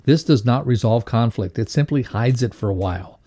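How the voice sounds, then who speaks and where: Mellow-voiced man reading a motivational lesson. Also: mid-range voice, normal speed. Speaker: co-host reading a values lesson. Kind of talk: read-aloud motivational lesson.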